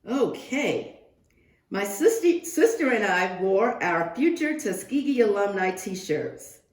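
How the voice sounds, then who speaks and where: A woman's voice: mid-range voice, very animated, takes it slowly. A woman reading a picture book aloud to young pupils, expressively, with asides and questions addressed to the children. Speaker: guest reader for a second-grade class. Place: indoors, reading remotely to a class. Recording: roomy, echoing sound of a virtual class reading.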